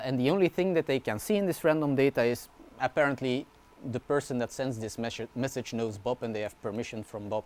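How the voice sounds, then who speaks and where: A man, a university researcher giving a relaxed conference talk, often checking in with the audience. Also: mid-range voice. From Belgium. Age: 20s. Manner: casual, informal explaining with frequent "yeah?" and "right?" check-ins; fillers and restarts, light humor.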